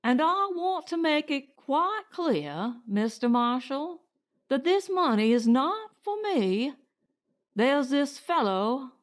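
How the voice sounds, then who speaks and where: Corrupt male official for audiobook female reader, evasive and falsely polite, lightish pitch, very measured pace. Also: big pitch swings, heavily acted. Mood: calculating. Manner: slow, wooden, feigned politeness. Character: evasive, smooth-talking male corrupt director. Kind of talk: audiobook character dialogue.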